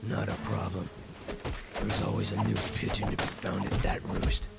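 Game vigilante: low, heavily gravelled, cold and clipped, deadpan, under loud music. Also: male voice, moderate pace. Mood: grim, flat. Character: grim masked male vigilante, terse and cold. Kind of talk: video game character acting.

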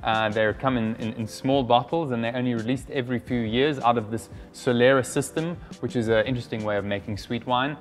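A middle-aged man, speaking as a wine specialist in a measured way with frequent hesitant fillers, standing in a decorated indoor room. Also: medium pitch, steady tone, soft background music. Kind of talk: conversational presentation.

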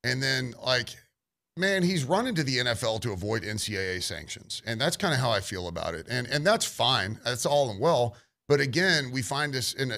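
A man's voice: medium pitch, vocal fry, pushed and bright. A man co-hosting a sports radio show, talking in a heated, rambling, opinionated way.

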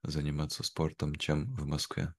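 A young man speaking in a measured, careful way, as a guest in a slow conversation for language learners. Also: very deep voice, flat delivery. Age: young adult. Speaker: interview guest. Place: indoor room at home, video call. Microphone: video call, wearing headphones.

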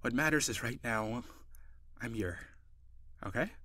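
A man playing a friendly, lonely ghost in an improvised audio roleplay, talking gently and hesitantly, as if to one listener. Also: medium pitch, a little slow, dry recording. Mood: gentle, comforting. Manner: hesitant, conversational, soft-hearted.

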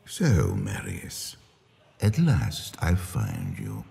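A coldly patient, imperious otherworldly being, speaks in a dark, low, gravelly voice with long reverb. His game-cinematic delivery is deliberate and menacing. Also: wildly swooping pitch, exaggerated voice. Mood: cold satisfaction. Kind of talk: theatrical video game cinematic acting.

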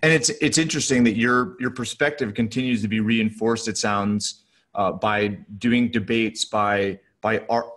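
A middle-aged man hosting a conversational podcast interview over video call, speaking haltingly and rephrasing as he goes. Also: lowish voice, steady tone, fairly bright tone. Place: small furnished room at home or office, on a video call.

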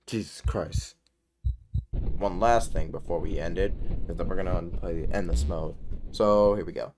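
A man's voice: sits low, moderate pace, background noise. A man giving tense running commentary as he plays a horror game, muttering orders to himself and swearing at the monsters. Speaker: gaming YouTuber. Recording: loud game music behind voice.

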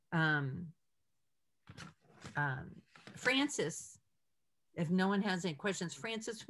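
Older woman, low voice, ministry host, halting, encouraging, informal, home video call. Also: a little hiss or hum. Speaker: ministry leader hosting a call. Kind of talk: informal prayer-call talk. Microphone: video call computer mic.

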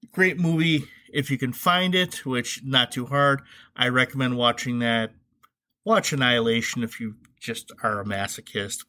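A man's voice: medium pitch, sharp tone. A middle-aged man hosting a casual, comedic movie review podcast in an opinionated, wry, informal way. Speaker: podcast host.

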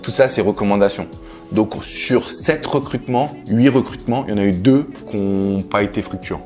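A man answers questions in a relaxed, conversational interview. His voice sits fairly low, picked up by a lapel mic in a living room, with soft music underneath. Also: normal speed. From France. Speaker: interview guest, coach and entrepreneur. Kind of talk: casual interview talk. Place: living room, on a sofa indoors. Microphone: clip-on lapel mic. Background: background music.

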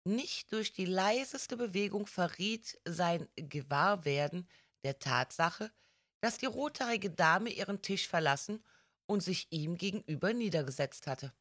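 A woman reads an audiobook story at an unhurried pace. Her voice sits slightly low, and her delivery is careful and measured. The sound is dry and close. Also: expressive, a bit bright, no room sound. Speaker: audiobook narrator. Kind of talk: scripted storytelling narration.

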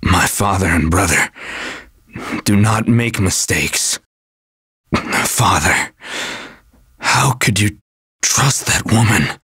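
A savage young mercenary from a video game, speaks in a low, very husky and rough voice. He is halting and menacing, with long slow phrasing and a dry, close sound. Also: sharp tone, dry recording, full character voice. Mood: dazed, brooding. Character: savage, dazed young male mercenary, a mad dog.

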